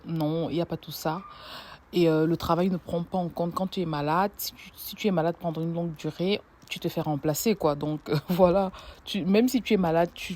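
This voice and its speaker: A young woman from Africa, a social work student, giving a hesitant personal testimony with many pauses and restarts. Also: low voice, mellow.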